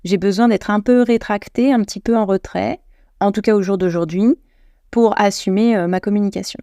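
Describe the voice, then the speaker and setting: French woman host, solo podcast chat, self-correcting, warm mellow voice. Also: mid-range voice, fairly even intonation, moderate pace, dry recording, studio quality. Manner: chatty, self-deprecating, many restarts and self-corrections.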